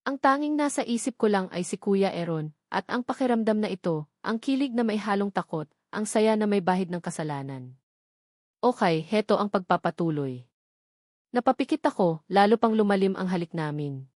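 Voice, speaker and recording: A woman with a Filipino accent narrates a first-person romance story in an emotive, dramatic way with deliberate pauses. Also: medium pitch, a little mellow, dry recording, studio quality.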